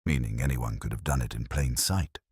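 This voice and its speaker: A man's voice: rumbling low, a little fast, breathy edge, dry recording, studio quality, voice pushed down, heavily acted. A man voicing a keen, practical police sergeant sidekick in a plain, attentive manner for a detective story.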